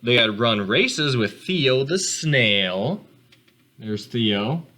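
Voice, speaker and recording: A man reading a storybook aloud for children, expressive, speaking to them directly with casual asides. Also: medium pitch, extremely slow. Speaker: read-aloud host for children.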